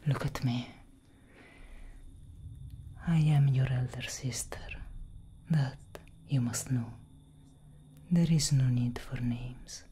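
A young woman playing a brooding, protective elder vampire sister in a soft, breathy, whispered ASMR roleplay. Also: a bass voice, steady tone, a little hiss or hum. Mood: solemn, guarded. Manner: breathy, slow, intimate, dramatic.

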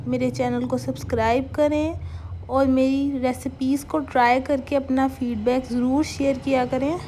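A young woman hosting a home cooking channel, calmly talking viewers through a recipe step by step and mixing English cooking terms into her speech. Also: sits high, a little hiss or hum. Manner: calm, instructive, mixes English cooking terms into Hindustani speech.

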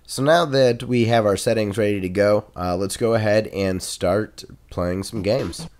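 A man giving a casual Let's Play tutorial into a USB condenser mic at his home gaming setup, talking relaxed with many uhs and ums. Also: fairly low pitch, measured pace. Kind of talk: casual software tutorial and game commentary. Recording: voice over loud game music. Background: game music.

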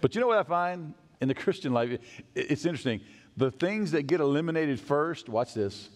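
A middle-aged man preaching a sermon as pastor in a large church sanctuary, animated, acting out hurried voices and dropping to a hush. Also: a little high. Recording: long church reverb.

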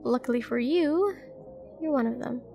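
A woman acts out a dangerous female mercenary flirting in an audio roleplay. Her voice sits high, with plenty of gravelly fry at the edges. She is teasing, self-assured and used to giving orders, with faint music behind her. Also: normal speed, dark tone. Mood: teasing, flirtatious. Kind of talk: scripted roleplay audio drama, addressing the listener.

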